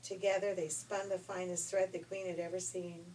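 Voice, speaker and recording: A woman's voice: lowish voice, little pitch movement, moderate pace, darkish tone. Folksy woman storyteller, distant noisy recording. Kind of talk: animated children's story reading.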